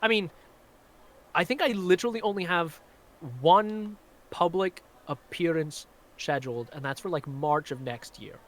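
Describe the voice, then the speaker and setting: A man's voice: on the high side, unhurried, fairly bright tone. Man with a faint Glaswegian accent. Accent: faint Glaswegian mixed with American. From Scotland. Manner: chatty, rambling, many fillers. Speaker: magician. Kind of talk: casual live-stream chat.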